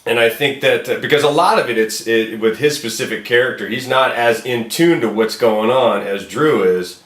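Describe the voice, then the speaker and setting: A man's voice: mid-range voice, normal speed, fairly bright tone. A man speaking casually and encouragingly as a film director, in an informal, conversational way. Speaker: film director casting an actor. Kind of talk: casual phone call and talk to viewers. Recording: roomy, echoey room sound.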